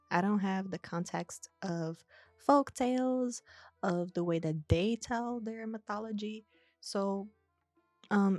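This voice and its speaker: A young woman talks in a slow, unhurried way. Her voice is warm and mellow. She sounds measured and self-deprecating, with faint music underneath. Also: on the low side, no room sound. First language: Portuguese. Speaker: video essayist. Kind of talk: reading a scripted analysis, then chatting.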